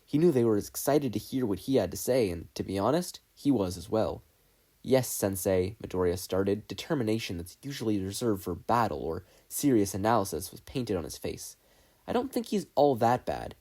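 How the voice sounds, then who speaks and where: Husky, mellow male story narrator. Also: fairly low pitch, expressive, brisk, few pauses. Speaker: amateur audiobook narrator. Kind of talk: expressive scripted story narration.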